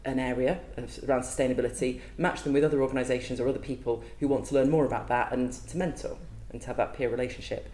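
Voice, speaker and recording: A woman's voice: rumbling low, fairly even intonation, quick pace, hardly any pauses, warm tone. A woman guest on a podcast, talking openly and thoughtfully in a casual interview, with frequent ums and restarts. She is not from Wales. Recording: echoey room.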